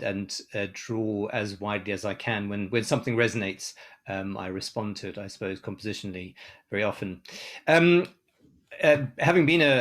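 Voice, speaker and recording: A man's voice: on the low side, a bit bright. A man from South Africa, a composer giving a conference talk in a hesitant way, with many ums and restarts. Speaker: composer and lecturer. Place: online symposium.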